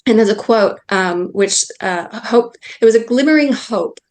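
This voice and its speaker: A young woman gives a conference talk. She speaks earnestly and informally, often pausing with small fillers. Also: mid-range voice, lively intonation, darkish tone. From Wales.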